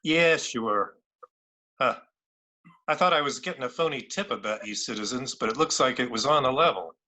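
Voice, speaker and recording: A man's voice: mid-range voice, expressive, moderate pace, pushed and bright. A man playing a tough, sarcastic police lieutenant, commanding and mocking, in an amateur radio play. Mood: sardonic. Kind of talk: amateur old-time radio play acting.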